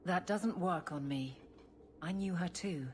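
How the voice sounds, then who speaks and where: An actress playing a frank, protective friend in a video game, direct and quietly caring. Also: female voice, lowish voice, a little slow, slight background noise, natural voice. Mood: concerned. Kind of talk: dramatic video game acting.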